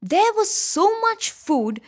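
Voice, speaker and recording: A woman reading scripted children's stories as an expressive, dramatic storyteller. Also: extremely high pitch, slow pace, a little hiss or hum. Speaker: storyteller, narrator.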